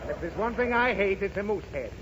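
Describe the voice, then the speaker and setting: A man's voice: high-pitched, expressive, brisk, fairly bright tone, some chatter behind. An actor playing an irritable American father in a 1930s radio comedy, grumbling and explaining things with huffy sarcasm. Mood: exasperated. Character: exasperated, grumbling father of a pestering little girl. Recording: dull, noisy 1930s radio recording.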